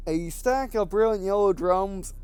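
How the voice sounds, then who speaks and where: A man reading game text aloud and commenting casually as he plays, halting and stumbling over words, with some background noise. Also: high-pitched, darkish tone, clean tone, no echo. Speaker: gameplay video host.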